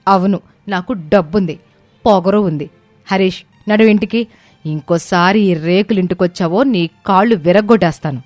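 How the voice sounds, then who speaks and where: Woman acting angry, sharp-tongued young mother. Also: medium pitch, moderate pace, dry recording, soft background music, natural voice. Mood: arrogant, defiant. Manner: scolding, accusing, rhetorical questions. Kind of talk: dramatized Telugu family story acting.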